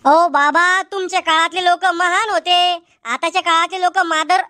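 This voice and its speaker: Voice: very high voice, steady tone, moderate pace, pushed and bright. A performer voicing an argumentative, sarcastic village family member in a comedy cartoon. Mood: retorting. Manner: bickering, sarcastic, blunt. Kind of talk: Marathi comedy cartoon dialogue.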